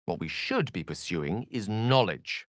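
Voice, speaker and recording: A witty, dramatic man of magic in a fantasy video game. His pitch swings expressively as he turns florid, articulate phrases with wry self-assurance. The voice sits a little low and is captured dry, in theatrical character style. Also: animated, measured pace, no room sound. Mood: earnest, measured. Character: eloquent, witty, self-assured human wizard, bookish and dramatic.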